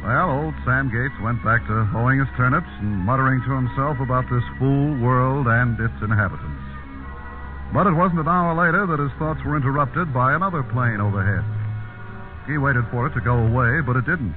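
A man's voice: medium pitch, normal speed, close to an everyday voice. A man narrating a scripted radio drama in dramatic 1950s style. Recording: narrow, tinny 1950s radio broadcast. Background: dramatic music.

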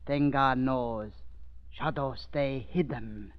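An actor playing a loyal, wary old manservant in a 1940s radio drama, speaking broken pidgin deferentially. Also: male voice, medium pitch, slow, a little hiss or hum, close to an everyday voice. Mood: hushed, loyal. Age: older. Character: loyal old male native servant, humble, wary. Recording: dull vintage radio recording.

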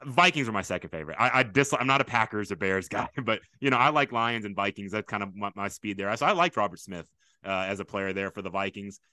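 A man hosting a podcast in a casual interview chat, with a big booming voice and a loud, enthusiastic way of talking. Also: animated, fast talker, no echo. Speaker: podcast host.

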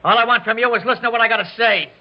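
An actor playing a threatening young armed robber in a classic radio drama, talking in tough, slangy, ungrammatical street talk. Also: male voice, very high-pitched, rapid-fire. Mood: defiant, shouting. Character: jittery young male armed robber holding hostages, threatening. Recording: muffled old radio broadcast.

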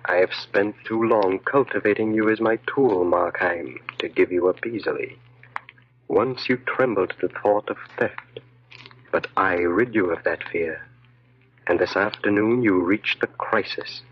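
A man's voice: low-pitched, measured pace, slightly rough. An actor playing a commanding, mocking evil genius that speaks within a man's mind, in 1940s radio horror style. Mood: menacing. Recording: muffled old radio recording.

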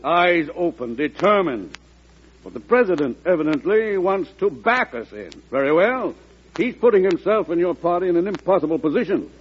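A combative, skeptical senator in a classic radio drama. The voice is husky and swings widely in pitch, pressing each point. The old recording is muffled. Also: male voice, expressive, moderate pace. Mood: scornful. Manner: emphatic, challenging. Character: combative, hawkish male senator, skeptical of the President.

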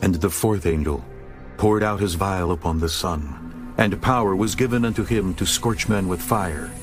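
A man's voice: sits low, moderate pace, over music. A man narrating a dramatized scripture audiobook in a solemn, formal manner.

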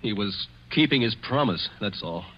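An actor playing a young murder suspect, earnest, anguished and bitterly fatalistic, in a 1940s radio suspense drama. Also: male voice, fairly low pitch, lively intonation, moderate pace, husky. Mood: bitter despair. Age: young adult. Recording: dull 1940s radio recording.

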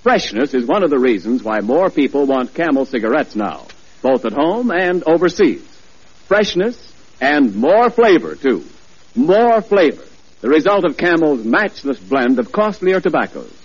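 Old time radio ad guy, lively pitch, punchy salesy read, dull old broadcast sound. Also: measured pace, slightly rough. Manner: polished, emphatic sales pitch. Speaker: announcer. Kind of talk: 1940s radio commercial.

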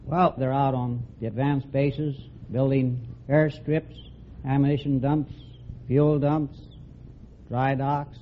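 An American man speaks in a folksy, plain way as a serviceman on a quiz show. His pitch is average for a man. The vintage radio recording is muffled, with a faint layer of noise. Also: level tone, slow. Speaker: quiz contestant, Navy Seabee serviceman. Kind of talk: anecdote telling on a quiz show. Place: stage before a live studio audience.

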